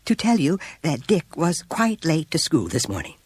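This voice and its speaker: Man voicing a diffident, high-pitched lady schoolteacher. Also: big pitch swings, normal speed, breathy edge, no echo. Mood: diffident, nervous. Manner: hesitant, apologetic, delicate. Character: diffident, anxious woman schoolteacher, polite and flustered.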